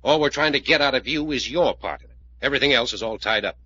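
An actor playing a hard-driving, blunt detective in a 1940s radio serial, commanding and urgent. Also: male voice, medium pitch, fast, sharp tone, slight background noise, lightly acted. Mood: pressing, stern. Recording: muffled old-time radio recording.

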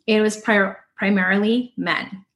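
Host: woman, mid pitch, measured and encouraging interviewer. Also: fairly even intonation, fairly bright tone. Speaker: podcast host. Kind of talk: interview conversation.

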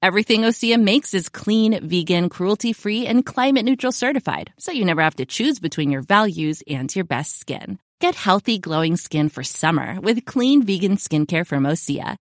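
Lively woman reading a skincare ad. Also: medium pitch, moderate pace, hardly any pauses, no room sound, clean studio sound. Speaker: advertisement voice-over. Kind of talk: polished scripted skincare ad read.

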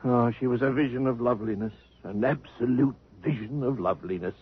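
An actor playing a gruff, stingy elderly millionaire in a 1950s radio drama. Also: male voice, mid-range voice, a little fast, natural voice. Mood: reminiscing. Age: older. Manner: blustery and cantankerous. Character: gruff elderly rich man, impatient, stingy. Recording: dull vintage radio broadcast.

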